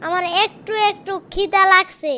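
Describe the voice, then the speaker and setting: Bold little cartoon kid: tiny, very high, sharp, cheeky and eager. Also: fairly even intonation, normal speed, a little hiss or hum. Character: cheeky, food-loving, bold little child. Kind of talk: animated cartoon voice acting.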